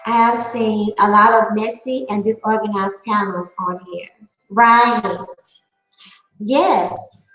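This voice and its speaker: A woman's voice: medium pitch, fairly even intonation, takes it slowly, a bit bright, echoey room, soft background music, low-quality recording. A woman nearing fifty giving a candid opinion monologue, emphatic and repeating her phrases for effect. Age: late 40s. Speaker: online panel talker.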